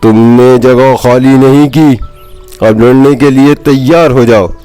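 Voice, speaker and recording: A man's voice: medium pitch, fairly even intonation, takes it slowly, mellow, smooth, natural voice. A haughty male crow who bosses and threatens others, acted out by a male storyteller. He speaks like the bully of a children's storybook, with music playing behind him. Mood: haughty, menacing. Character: arrogant bullying male crow gang leader.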